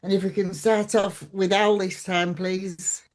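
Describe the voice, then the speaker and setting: Woman chairing a local hustings, loose and informal, mid-pitched voice. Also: flat delivery, unhurried. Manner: informal, hesitant with fillers. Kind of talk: chairing a public meeting.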